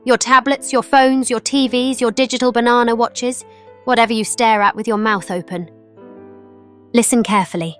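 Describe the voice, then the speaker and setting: Woman as a high-voiced, bossy pig puppet teacher. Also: steady tone, moderate pace, a bit bright, soft background music, lightly acted. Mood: perky, instructive. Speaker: children's segment presenter. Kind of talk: children's puppet show presenting.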